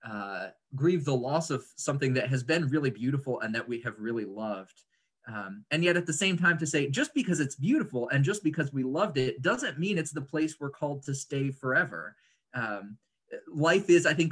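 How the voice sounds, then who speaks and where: A man's voice: mid-range voice, a bit bright, breathy edge. Thoughtful man in his thirties. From Indonesia, grew up there as an American third culture kid. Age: 30s. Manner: thoughtful, articulate, pausing mid-sentence to choose words. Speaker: interview guest, writer and speaker. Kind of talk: reflective interview conversation.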